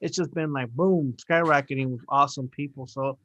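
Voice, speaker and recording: A man's voice: mid-range voice, fairly even intonation, brisk, a bit bright, dull audio. A man in his forties hosting a casual podcast chat, talking in a rambling, upbeat way with lots of fillers. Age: 40s. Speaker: podcast host.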